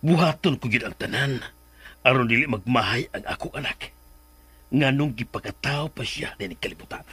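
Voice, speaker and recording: A man's voice: medium pitch, normal speed, lightly acted. An actor playing an anguished, long-suffering father, speaking earnestly and sorrowfully in a radio drama. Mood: sorrowful, resolute.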